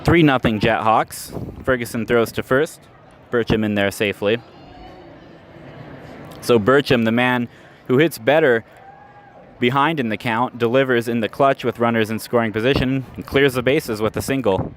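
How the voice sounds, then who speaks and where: A man's voice: mid-range voice, normal speed, a little hiss or hum. A man working as a radio baseball announcer, calling the game live in clipped phrases as the action happens. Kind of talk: live baseball play-by-play commentary. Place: live at an outdoor ballpark.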